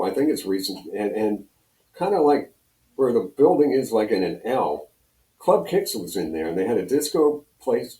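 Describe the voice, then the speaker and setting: An older American man with a low, mellow voice reminisces as a guest in a casual interview. He wanders through his anecdotes, and the sound is roomy. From Pennsylvania, United States. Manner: rambling, anecdotal storytelling. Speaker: guest, retired radio personality. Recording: roomy echo, distant from mic.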